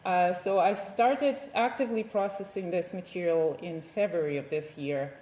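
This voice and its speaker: A woman from Ukraine, a native Ukrainian speaker, gives a conference talk, pausing often and restarting her sentences. Her voice is low and mellow. It rings in a roomy, echoing hall. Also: a little hiss or hum. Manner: hesitant, many fillers and restarts. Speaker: digital archivist presenting at a conference. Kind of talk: conference presentation.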